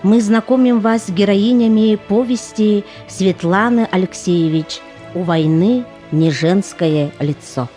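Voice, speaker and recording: A woman's voice: medium pitch, unhurried, dark tone. Woman narrator, scripted audio-play narration, solemn, declamatory, mid-range voice, light music bed.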